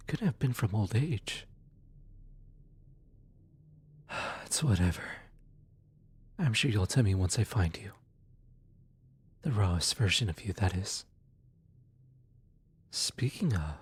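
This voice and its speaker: A performer playing a gentle, awkward demon soul retriever in an ASMR audio roleplay, speaking softly and hesitantly, often close to a whisper. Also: medium pitch, husky, full character voice. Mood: flustered. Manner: hesitant, halting, sighing, muttering to self.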